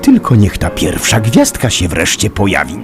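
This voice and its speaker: A man's voice: lowish voice, huge pitch swings, normal speed, over music. A man voicing a friendly, courteous dragon in a children's audio play.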